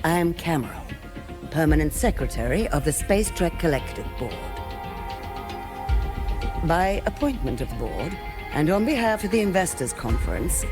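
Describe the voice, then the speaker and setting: An actor playing an officious board secretary in a video game, making a pompous, ceremonious formal proclamation. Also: male voice, a little high.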